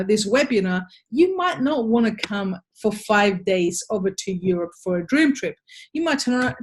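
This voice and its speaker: An Australian woman giving a sales presentation in a webinar, upbeat and persuasive, speaking to a webcam from a home office. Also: medium pitch.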